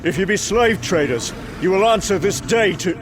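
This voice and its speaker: A man playing gruff, battle-hardened Norse thunder gods in a fantasy audio drama, theatrical and declamatory with archaic phrasing. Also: sits high, expressive, normal speed, background noise. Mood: gruff, wry.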